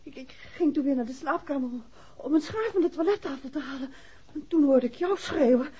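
An actress playing a refined, excitable detective's wife with a husky voice in a 1960s radio drama. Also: female voice, high-pitched, a little fast, lightly acted. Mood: flustered. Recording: dull 1960s radio recording.

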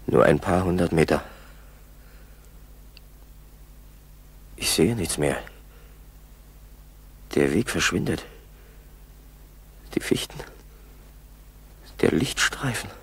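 An actor playing a feverish, wounded pilot who talks to himself in halting, gasping fragments in a 1960s German radio play. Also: male voice, deep voice, lively intonation, husky, exaggerated voice. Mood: strained, desperate. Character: wounded, exhausted, feverish downed pilot struggling through snowy forest. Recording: hissy, noisy 1960s tape recording.

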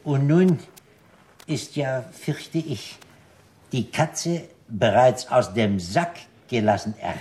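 An actor playing a suave, sly restaurant owner with formal, elaborately polite speech in a 1960s German radio drama. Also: male voice, medium pitch, slow pace, a little mellow, close to an everyday voice. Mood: silky, courteous.

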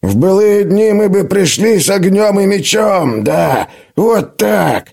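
A man voicing a bitter, raging old man with a hoarse, cracked voice in an audiobook. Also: sits high, sing-song, pitched above the performer's own voice, heavily acted. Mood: fierce. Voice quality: hoarse, creaky. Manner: shouting slow and cracked. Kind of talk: audiobook character voice.

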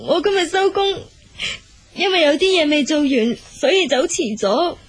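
Young hong kong cantonese girlfriend in radio drama, really high voice, timid, guilty, plaintive. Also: lively intonation, normal speed. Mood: guilty, hesitant. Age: young adult. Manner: hesitant, plaintive.

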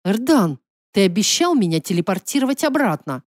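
A woman voicing a defiant, nervous young woman in an audiobook, anxious and emotional, with sharp retorts. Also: medium pitch, sing-song, brisk, dry recording, clean studio sound, close to an everyday voice. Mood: insistent. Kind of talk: audiobook character voice.

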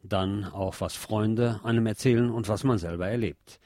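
A man hosting a radio show, presenting commentary and reading news aloud in a deliberate way with pauses. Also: on the low side, mellow, dry recording, clean studio sound.

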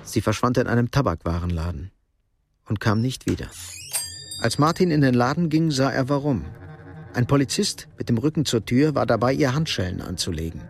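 A man playing the sober narrator of a crime radio drama, telling the story in a measured, matter-of-fact way. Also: medium pitch, animated, gravelly, faint music behind.

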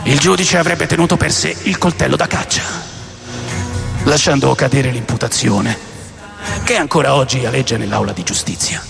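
Gravelly male radio drama narrator, long reverb. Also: mid-range voice, normal speed. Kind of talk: first-person dramatic scripted narration. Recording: long reverb, music underneath.

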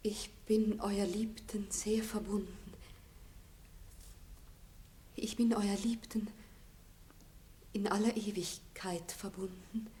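An actress playing a pious, innocent young bride-to-be with fervent rapture in a 1960s radio drama. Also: female voice, mid-range voice, little pitch movement, slow pace, pauses often, close to an everyday voice. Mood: hushed rapture. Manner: fervent, solemn, exclamatory to hushed. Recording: 1960s radio recording, faint hiss.

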